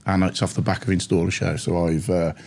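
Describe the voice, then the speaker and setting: A husky-voiced British man, tired from lack of sleep, hosting a casual trade podcast. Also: sits low, flat delivery, fairly quick, darkish tone. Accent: British English. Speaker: podcast host.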